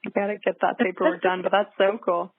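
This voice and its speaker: A woman's voice: medium pitch, little pitch movement, fast, slightly rough. A young adult woman talking casually as a podcast guest, chatty with many fillers and restarts. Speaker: guest pilot. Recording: dry, tinny phone-like line.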